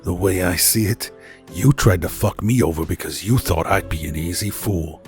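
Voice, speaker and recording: A man's voice: deep voice, moderate pace, a little mellow, soft background music. An actor playing a world-weary, sardonic hard-boiled detective in an old-time style radio drama, muttering his lines. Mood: bitter defiance.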